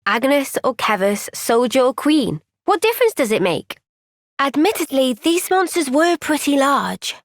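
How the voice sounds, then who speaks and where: A woman with a British accent voicing an earnest, gentle, thoughtful young woman soldier in a video game cutscene. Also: sits high, sing-song, measured pace, no echo, clean studio sound, full character voice.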